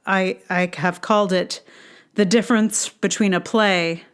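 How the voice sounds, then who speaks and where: A woman podcaster with a mid-range voice reads her own essay aloud. She takes her time, speaking slowly and deliberately in short phrases with pauses between them. The delivery is that of a writer sharing personal reflections. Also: mid-range voice, fairly even intonation, clear, resonant tone. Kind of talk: reading a personal essay aloud, with casual asides.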